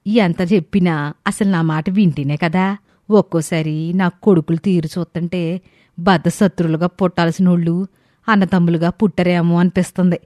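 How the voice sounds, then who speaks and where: A woman's voice: fairly low pitch, warm tone, no echo, studio quality, lightly acted. A woman voicing a weary, exasperated older village mother-in-law in a story, complaining in a rustic way.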